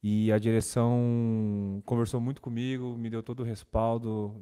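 A young Brazilian man answers reporters at a press conference, talking casually and often repeating himself as he thinks. His voice is mellow and middle-pitched, picked up by a desk microphone in a club press room. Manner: casual, repeats words and fillers.

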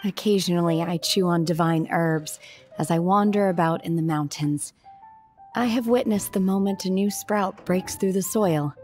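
Casting: calm, otherworldly young woman for a fantasy game, acted by a woman, slightly low, soft, earnest, hesitant and literal. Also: lightly acted. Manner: measured, solemn, hesitant pauses, puzzled literalness. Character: calm, aloof young woman raised by mystical hermits, earnest. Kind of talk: video game character dialogue.

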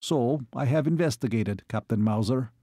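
A man voicing a suave, gently menacing Hungarian military attaché in a story, formal and deliberate. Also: mid-range voice, no echo, clean studio sound, lightly acted. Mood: smooth, knowing.

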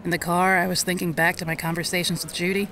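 An actress playing a gentle, remorseful woman in an audio drama, speaking in a halting, reflective way. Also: lowish voice, flat delivery, slight background noise. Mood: wistful, regretful. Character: remorseful woman recalling a sick little girl, gentle. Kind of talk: audio drama acting, conversational.

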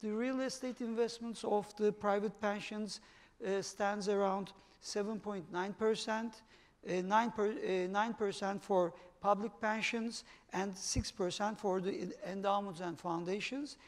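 A man's voice: high voice, fairly even intonation. A man with a Turkish accent moderating a conference panel on stage, reading out statistics with many hesitations. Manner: halting, many fillers while reading figures. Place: large conference hall stage. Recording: long reverb of a conference hall.

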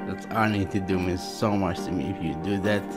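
A man in his thirties from Algeria hosting a reaction video, talking excitedly in simple English with many repeated exclamations. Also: low-pitched, a little fast, over loud music. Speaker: reaction channel host. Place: small indoor room before a dark backdrop.

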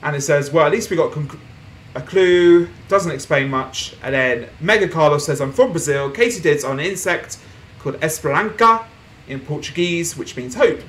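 A young man talks through a desktop puzzle game in a loose, chatty way, reading in-game posts aloud as he goes. His voice sits a little higher than most men's. A faint hiss of room noise sits under his desk mic. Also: lively intonation, moderate pace, few pauses. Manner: chatty, reads on-screen posts aloud, laughs softly. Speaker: gaming streamer. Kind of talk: casual game playthrough commentary. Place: small room at home, indoors.

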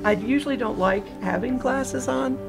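Older woman with gravelly voice, music behind. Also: sits high, moderate pace. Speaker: testimonial customer. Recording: ad with music bed.

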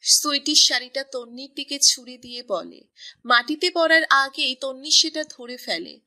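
A woman narrates a romance story in a high, bright voice with an even storytelling manner. Her delivery sounds crisp and scripted. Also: moderate pace.

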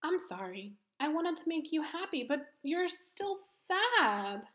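A perky little storybook pig played by a woman, high and very melodic. She is earnest and caring toward her friend. The sound is slightly roomy. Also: big pitch swings, slow, a bit bright, full character voice. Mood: apologetic. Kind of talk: children's picture book read-aloud. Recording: roomy echo.

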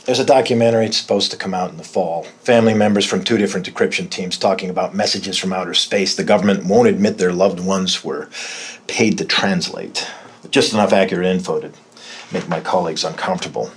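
An older man playing a frank, measured military intelligence officer in an audio drama, with a husky voice. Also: deep voice, steady tone. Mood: earnest, matter-of-fact. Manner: direct, measured.

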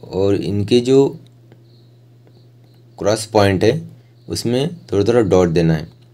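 A man with an Indian accent narrating a step-by-step art tutorial informally, in short phrases with hesitant pauses. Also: on the low side, expressive, mellow.

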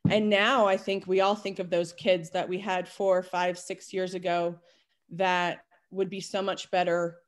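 A woman in her forties, a kindergarten teacher, telling a candid story on a video call from home. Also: fairly low pitch. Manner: candid, storytelling, quick asides. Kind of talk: casual video call panel. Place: home room at night. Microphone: laptop webcam on video call.

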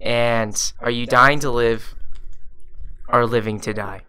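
A man's voice: mid-range voice, steady tone, takes it slowly, sharp tone. A man in his twenties on a casual podcast, laid-back and joking. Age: 20s. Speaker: podcast co-host.

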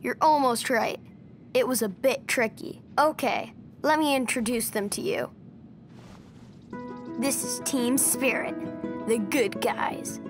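A woman's voice: a little high, takes it slowly, long pauses, over music. A woman voicing an earnest, imaginative young boy who plays at being a superhero, in a video game cutscene.

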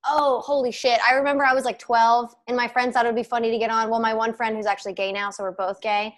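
A young woman in her mid-twenties chats on a podcast through earbuds on a video call, her voice sitting a little high. She tells stories in an animated way, swearing freely as she goes. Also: fast. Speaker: podcast co-host. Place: home room with bookshelf, indoors.

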